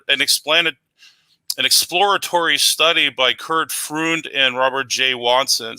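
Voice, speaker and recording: Man debater reading citations; very bright, crisp voice, dry close sound. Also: mid-range voice, dry recording, low-quality recording.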